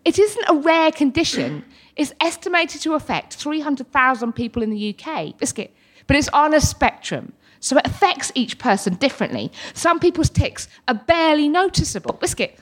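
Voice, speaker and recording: Woman, British accent, high voice, swooping intonation, playful comic stage storytelling, frequent involuntary tic interjections. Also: big pitch swings, moderate pace. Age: 30s. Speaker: live storyteller and artist. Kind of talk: comic live storytelling to an audience. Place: live storytelling event with an audience.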